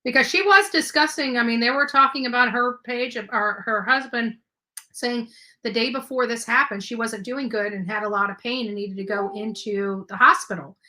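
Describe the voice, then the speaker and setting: Woman, talk show host, bright sharp voice, a little high, blunt, questioning, casual true crime chat. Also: moderate pace, low-quality recording. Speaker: live call-in show host.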